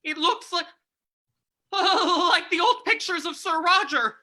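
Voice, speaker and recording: Woman as sharp, bright-voiced uneasy townsman. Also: very high-pitched, slow, voice pushed up, exaggerated voice. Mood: curious, uneasy. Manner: earnest, wondering. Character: steady small-town man, curious and uneasy. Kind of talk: old-time radio drama reading.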